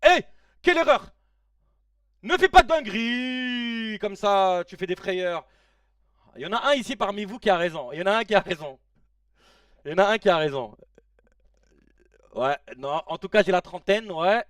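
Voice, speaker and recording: A man in his late thirties hosting a casual live stream, reacting excitedly to a football match in slangy chat and shouting at the players. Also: sits high, normal speed, pauses often, smooth, no room sound, clean studio sound, distant mic. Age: 30s. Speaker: live-stream watch-along host.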